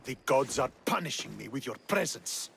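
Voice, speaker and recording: A scornful Spartan commander. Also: male voice, mid-range voice, very animated, normal speed, vocal fry, a bit bright, slight background noise. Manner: scornful, resentful. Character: bitter, hostile male Spartan commander. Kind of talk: video game cutscene acting.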